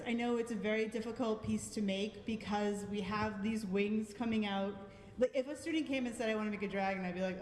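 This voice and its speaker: A woman's voice: fairly high pitch, darkish tone. A woman with a Boston accent giving a friendly, thoughtful live critique of art pieces, with frequent ums. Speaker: art show juror. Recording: echoey hall with long reverb.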